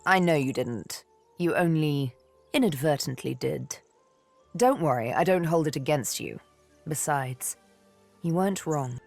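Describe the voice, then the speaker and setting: A woman's voice: deep voice, unhurried, gravelly, pauses often, a little mellow, dry recording, soft background music. A woman playing a cool, sardonic, vengeful elf assassin in a fantasy game, poised, wry and intimate. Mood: soft, reflective. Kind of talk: fantasy video game acting.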